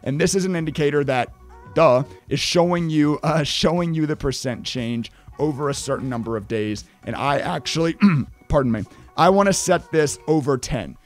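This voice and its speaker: A fairly high-voiced man in his thirties, hoarse and fading as he walks viewers through a trading tool. He talks with emphatic energy and keeps asking "right?". Faint music plays behind him. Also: normal speed. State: losing his voice. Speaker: trading educator. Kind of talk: screen-share tutorial.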